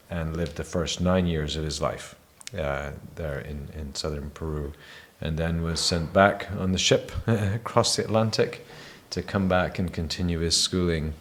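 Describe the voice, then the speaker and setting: A man who grew up in Spain, a missionary guest sharing his testimony in a measured, hesitant way with many fillers. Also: very deep voice, steady tone, a bit bright, a little hiss or hum. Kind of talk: reflective personal testimony.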